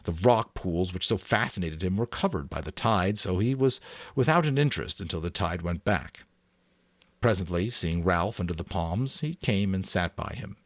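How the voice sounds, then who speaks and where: Deep, animated male storyteller. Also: normal speed, dry recording. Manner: expressive storytelling. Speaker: narrator. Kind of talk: dramatic audiobook reading.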